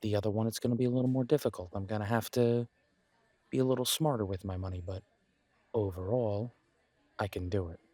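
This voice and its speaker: Guy acting as ur supportive best guy friend in an audio rp, lowish voice, super dry close sound, earnest n self-deprecating, chatty. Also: steady tone, mellow, dry recording, close to an everyday voice. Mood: encouraging, candid. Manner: earnest, encouraging, conversational with pauses. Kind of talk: casual conversational roleplay audio drama.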